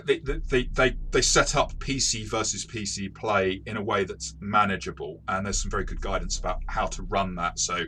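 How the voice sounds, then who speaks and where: Bright, lower-pitched man reviewing games. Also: steady tone, moderate pace, few pauses. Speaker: tabletop game reviewer and game master. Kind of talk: casual review discussion. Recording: faint background noise.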